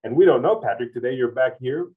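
A man's voice: mid-range voice, expressive, fast talker, slightly rough, distant mic. A man hosting an interview, asking long, rambling questions that loop back on themselves. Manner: rambling, loops back on his phrasing. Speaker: interviewer host. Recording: tinny phone-like sound, off-mic.

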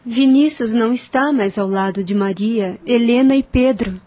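Woman acting a high-voiced, sensible comforter. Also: moderate pace, dark tone, close to an everyday voice. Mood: gentle, consoling. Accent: Brazilian. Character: gentle, sensible woman calming her frightened companions. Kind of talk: radio drama acting.

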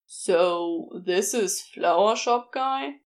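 A woman's voice: medium pitch, drawn-out words, pitched below the performer's own voice. A woman voicing amused, teasing hospital co-workers in an audiobook. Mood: curious, amused. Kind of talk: audiobook character acting.

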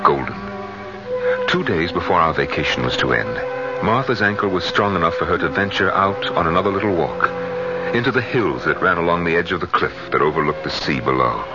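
An actor playing an anxious, jealous middle-aged husband in a 1970s radio drama, with dramatic, intense delivery. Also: male voice, low voice, animated, fairly quick, muffled recording, loud music behind the voice. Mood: reflective narration. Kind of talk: 1970s radio drama acting and first-person narration. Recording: band-limited 1970s radio recording.

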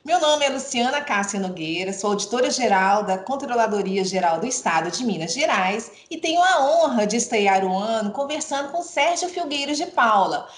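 A woman's voice: a little high, animated, moderate pace, few pauses, reverberant. A middle-aged woman hosting a podcast, formally welcoming a guest and reading his credentials. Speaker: podcast host. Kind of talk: podcast interview introduction.

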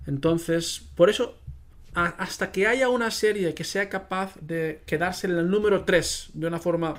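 A man whose first language is Catalan chats as a podcast guest, his voice a touch higher than most men's. He talks eagerly, stacking descriptions one after another. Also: measured pace. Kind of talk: casual podcast conversation.

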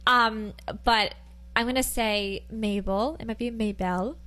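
A woman's voice: a little high, steady tone, a little slow, fairly bright tone. A woman co-hosting a podcast, chatting casually with lots of fillers. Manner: chatty, rambling with many fillers. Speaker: podcast co-host. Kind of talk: casual chat.

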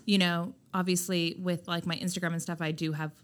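A loud, emphatic woman with a somewhat low-pitched voice. She talks candidly as a podcast guest about building her business and leans hard on filler words. Her delivery is forceful and direct. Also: flat delivery, brisk. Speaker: skincare brand founder, podcast guest. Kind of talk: candid conversational interview.

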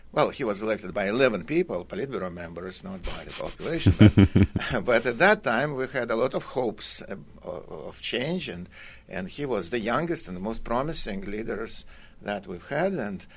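An older man from Russia with a Russian accent, telling his story in a hesitant, conversational way with wry, dry humour. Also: fairly low pitch. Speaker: college academic guest. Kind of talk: conversational autobiographical storytelling in an interview.

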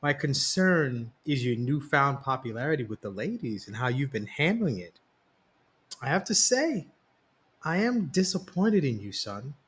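Male narrator playing a middle-aged father, serious and gentle, talking his son down. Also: medium pitch, sing-song, unhurried, breathy edge, heavily acted. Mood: disappointed. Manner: firm, earnest, gentle.

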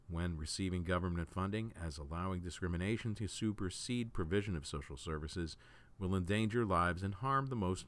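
A man with a low voice reads news articles aloud as a news reader. His delivery is measured, with an occasional stumble that he corrects as he goes.

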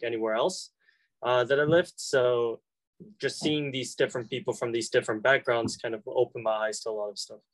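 A man's voice: mid-range voice, no echo, low-quality recording. A young man, a college student, answering questions casually with many fillers and restarts. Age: young adult. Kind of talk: casual conversation.

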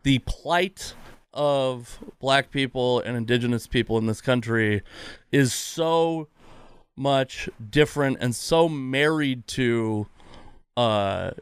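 Guy in his 30s chatting as podcast host, smooth clear voice, dry close sound, rambles a bit. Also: mid-range voice, takes it slowly, dry recording. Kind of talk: casual conversational interview.

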